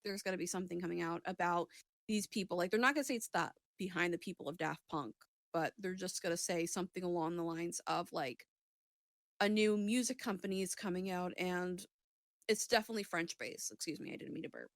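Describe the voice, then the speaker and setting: A woman's voice: on the low side, fairly quick, no echo. A woman giving a casual intuitive reading in conversation, speaking tentatively and often rephrasing herself as she goes. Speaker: psychic reader on a talk show.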